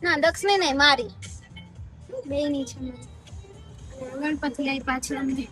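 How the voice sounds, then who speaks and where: A woman's voice: high-pitched, steady tone, unhurried, music in the background. A young woman vlogger. She talks casually and chattily, with a teasing streak. English words slip into her everyday chat.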